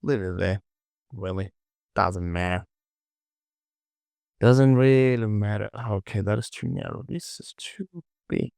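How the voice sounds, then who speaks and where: A man hosting a casual software tutorial, thinking aloud and muttering repetitively to himself as he works. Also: lowish voice, slow, gravelly, pauses often, no echo, clean studio sound. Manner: muttering, repetitive self-talk. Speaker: 3D modeling tutorial host.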